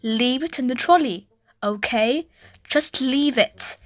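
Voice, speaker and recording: A woman's voice: sits high, slow pace, dry recording. A woman voicing a patient but flustered mother in a picture book, giving short instructions. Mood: nervous, cautious. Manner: short firm instructions, tag questions.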